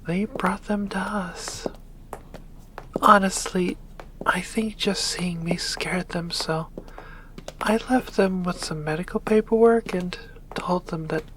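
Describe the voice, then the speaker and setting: A woman playing a composed succubus demon doctor in an ASMR roleplay, breathy, measured and dryly teasing. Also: lowish voice, background noise.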